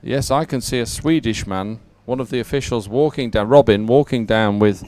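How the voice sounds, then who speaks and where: A man's voice: mid-range voice, moderate pace, a little mellow, slight background noise. A man commentating live on a sporting event in a chatty, conversational style.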